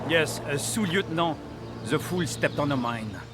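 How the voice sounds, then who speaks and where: Haughty high-voiced French commandant , played by a man. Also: expressive, moderate pace, background noise, lightly acted. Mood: dry, cynical. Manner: haughty, disdainful. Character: proud, cynical male French army commandant. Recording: music under the voice.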